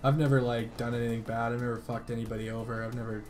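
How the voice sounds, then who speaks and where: A man talks casually in a mid-pitched voice, full of slang and swearing. He rambles through his sentences as if chatting on the phone, with music faintly behind him. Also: level tone, quick pace, a little mellow. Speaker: gaming video creator making a prank call.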